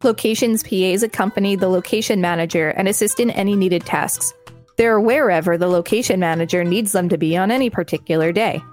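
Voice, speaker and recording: A woman's voice: mid-range voice, fairly quick, darkish tone, faint music behind. A woman co-hosting a podcast, reading an article aloud and adding casual commentary. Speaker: podcast co-host.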